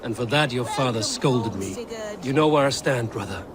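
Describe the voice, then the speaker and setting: An actor playing a proud, defiant Viking warrior in a video game, speaking bluntly. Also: male voice, mid-range voice, moderate pace, crowd noise, natural voice. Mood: warm. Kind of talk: video game cutscene acting.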